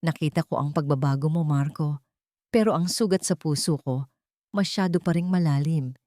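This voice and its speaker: A woman voicing a wounded young wife who speaks quietly and coldly in a storybook. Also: low-pitched, moderate pace, dry recording, clean studio sound, close to an everyday voice. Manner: quiet and cold. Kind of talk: storybook narration.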